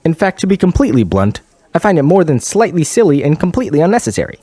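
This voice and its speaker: Smug, mellow-voiced male news anchor played by an actor. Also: fairly high pitch, sing-song, fast, dry recording. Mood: boastful, blunt. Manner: blunt, condescending, boastful. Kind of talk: satirical newscast delivery.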